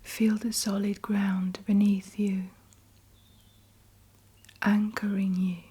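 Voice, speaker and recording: A woman whispers a guided meditation at an extremely slow pace. Her voice is very breathy and soft-edged, with little movement in pitch. She sets out each short phrase deliberately and leaves long pauses. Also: flat delivery.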